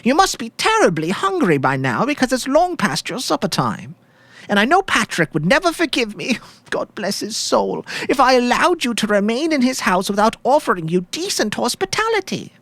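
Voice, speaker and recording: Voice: medium pitch, wildly swooping pitch, moderate pace, a bit bright, dry recording, voice pushed up. A performer voicing a tearful widow who speaks politely and pleadingly, with a false, coaxing sweetness, in a story reading.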